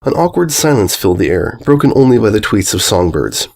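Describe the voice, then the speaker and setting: Expressive male storyteller with animated pitch. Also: a little fast, gravelly. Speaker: fan fiction narrator. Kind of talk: expressive scripted story narration.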